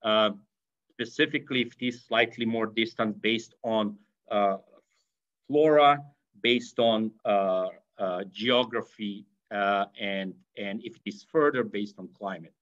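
A middle-aged man from Yugoslavia with an Eastern European accent, giving an online lecture, often pausing with fillers and restarting phrases. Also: fairly low pitch, a bit bright. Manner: hesitant, many fillers and restarts. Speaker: veterinary professor. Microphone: video call webcam. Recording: slightly dull video call audio.

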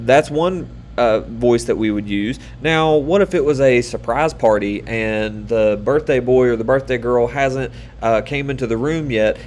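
Man, 30s, kindly teacher on a webcam call for young children, steady mid-range voice, light background noise. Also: mid-range voice. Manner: patient, upbeat, many fillers. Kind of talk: online lesson for children. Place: small room indoors.

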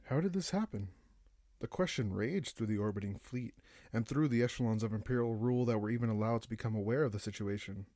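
A man narrating a dark science fiction audiobook in a solemn, grave, dramatic manner. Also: mid-range voice, fast, dry recording, studio quality. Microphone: close, dry studio-style microphone.

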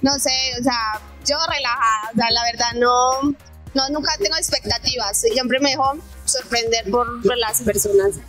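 Young colombian woman on a plaza, bit high voice, chill, lots of fillers. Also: normal speed, soft background music, poor audio. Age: young adult. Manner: relaxed, hesitant, many fillers. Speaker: interviewee. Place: outdoor town plaza. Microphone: handheld mic held by interviewer.

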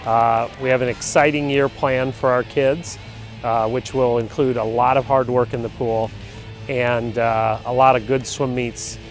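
Middle-aged head coach outdoors. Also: male voice, mid-range voice, flat delivery. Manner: measured, pausing with fillers. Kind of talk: promotional team introduction. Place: outdoors on a college campus. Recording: light background music.

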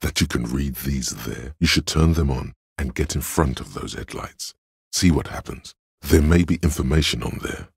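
An actor playing an inner voice of cold deductive logic in a video game, measured, deliberate and dryly condescending, with a gravelly, husky voice. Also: male voice, rumbling low, dry recording, clean studio sound, exaggerated voice. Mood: dry, analytical. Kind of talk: video game voice-over, scripted narration. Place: dry studio recording.

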